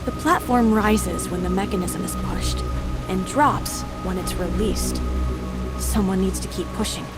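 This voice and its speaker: A woman's voice: medium pitch, a little slow, close to an everyday voice. An actress playing a confident, caring young female martial disciple in a video game, spirited and direct, with music behind. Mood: determined. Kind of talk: video game cutscene acting.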